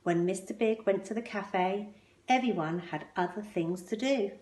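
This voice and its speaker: A woman reading a children's picture book aloud expressively, with measured, emphatic phrasing and pointed pauses. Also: mid-range voice, darkish tone. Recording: roomy, echoing indoor sound.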